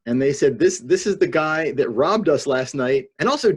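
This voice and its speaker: A man with a husky voice chatting and joking casually as a panelist on a video call. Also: fairly high pitch, lively intonation, moderate pace. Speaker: podcast panelist.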